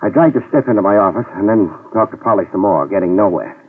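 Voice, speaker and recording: An actor in a 1950s radio detective drama playing a tough, wisecracking middle-aged private eye who narrates in dry hard-boiled style. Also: male voice, fairly low pitch, quick pace, breathy edge. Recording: tinny lo-fi 1950s radio with music cues.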